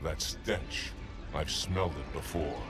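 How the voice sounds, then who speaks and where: An actor playing a proud, stern alien elite warrior commander in a video game cutscene, speaking solemnly. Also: male voice, extremely low pitch, takes it slowly. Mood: wary. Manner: solemn, declamatory. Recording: loud music over the voice.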